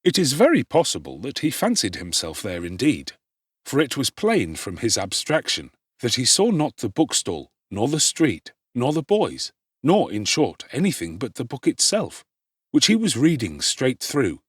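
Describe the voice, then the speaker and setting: A man narrating a classic novel in a measured, formal storytelling manner with expressive emphasis. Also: mid-range voice, slightly rough, no room sound, studio quality. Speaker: audiobook narrator.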